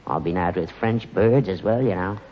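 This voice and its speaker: A man's voice: low-pitched, normal speed. An actor playing a crafty, cheeky old London father, sly and cantankerous, in British sitcom style. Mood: needling, boastful. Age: older. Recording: muffled, noisy archive recording, echoey hall.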